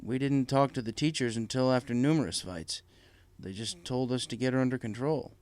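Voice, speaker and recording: Man acting a halting, anguished pegasus father. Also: mid-range voice, darkish tone, close to an everyday voice. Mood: earnest. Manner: halting, earnest, deferential. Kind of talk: storybook character voice.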